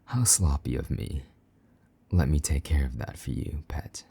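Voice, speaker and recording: A man playing a possessive, condescending vampire knight in an intimate roleplay, taunting and commanding in a husky, breathy half-whisper. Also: a bass voice, a little slow, dark tone, full character voice. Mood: teasing, smug. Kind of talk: intimate roleplay audio drama.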